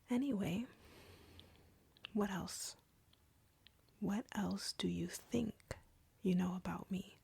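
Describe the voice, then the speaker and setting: A woman playing an arrogant, cruel, flirty female vampire captor in an audio roleplay, taunting in an intimate, breathy, husky whisper. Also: mid-range voice, mellow, heavily acted. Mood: teasing, sinister. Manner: taunting, slow, deliberate, drawling.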